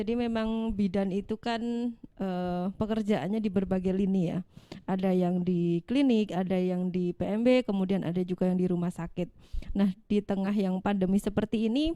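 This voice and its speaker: A woman, a midwifery lecturer calmly explaining to the host with light jokes. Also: mid-range voice, clear, resonant tone, dry recording. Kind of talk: explaining on a talk show.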